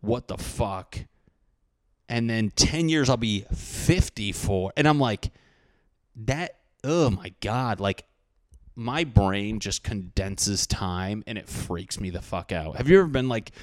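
A man in his thirties rambles through a solo podcast monologue, swearing freely and poking fun at himself. His voice sits a little low. The sound is dry and close, with no room around it. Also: expressive, slow pace, no echo. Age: 30s. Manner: rambling, profane, self-deprecating. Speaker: podcast host.

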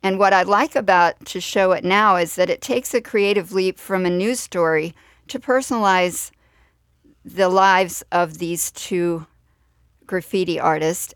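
A woman's voice: lowish voice, no echo, studio quality. A woman hosting a radio interview and introducing clips. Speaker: radio show host and interviewer.

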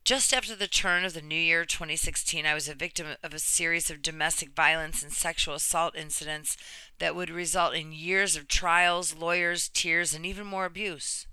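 Bright, clear woman reading aloud. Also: low-pitched, no echo. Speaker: reader of another person's true story. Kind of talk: reading a personal written story aloud.

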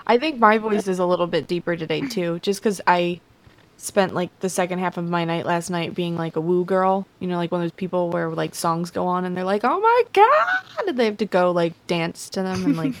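A young woman, a podcast guest, a little hoarse, chatting casually with many fillers. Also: on the low side, animated, a little fast.

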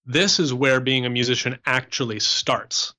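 A young man with a bright, forward voice talks as an interview guest. He is from Canada and explains things conversationally and sociably, at an average male pitch. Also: moderate pace. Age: young adult. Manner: animated explaining, sociable extrovert. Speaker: interview guest, opera singer and musician.

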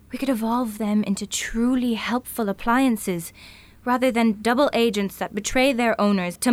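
A woman's voice: on the high side, darkish tone, no echo, lightly acted. An actress playing an ambitious, conflicted, earnest career woman in a naturalistic audio drama.

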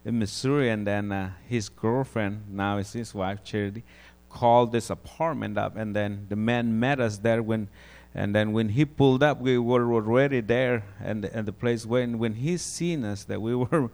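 A man from Guatemala with a Guatemalan Spanish accent, giving an informal testimony sermon, halting and repeating words as he goes. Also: fairly low pitch, a little mellow. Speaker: preacher.

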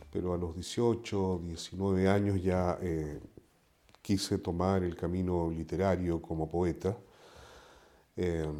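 Chilean man, low and dark voice, pausing, reflective. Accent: Chilean Spanish. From southern Chile. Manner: reflective, frequent "¿no?" tags and pauses. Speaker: poet. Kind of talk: reflective interview answer.

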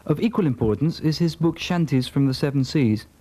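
A man's voice: a little high, animated, a little fast, dark tone. A man reading scripted documentary narration as the narrator.

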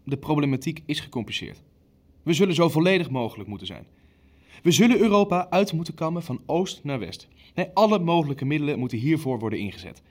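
Zealous Nazi official, animated delivery. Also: male voice, on the high side, a little fast. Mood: brisk, dismissive. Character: zealous, commanding Nazi official chairing a wartime conference. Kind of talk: Dutch audio drama acting.

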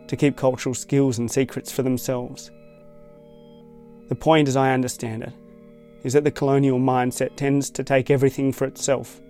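A man from Tasmania with an Australian accent, reading a reflective scripted essay in a measured, thoughtful way. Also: mid-range voice. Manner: measured, thoughtful, even-toned. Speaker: essay narrator.

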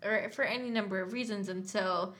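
Female podcast writer in casual discussion; reflective explainer with a crisp, bright edge. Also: mid-range voice, steady tone, fairly quick. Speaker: podcast co-host and story writer.

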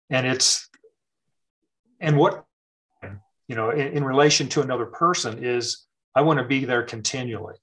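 A middle-aged man with a mid-range voice talks through scripture in a relaxed conversation. He is thoughtful and circles back on himself, with plenty of fillers and restarts. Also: mid-range voice, low-quality recording. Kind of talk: casual faith conversation.

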